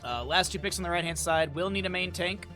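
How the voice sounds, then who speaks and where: Hoarse man casting an esports match. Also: high voice, a little fast, bright, forceful tone, faint music behind. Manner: chatty, self-correcting, thinks aloud. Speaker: esports caster and streamer. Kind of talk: live-streamed esports commentary.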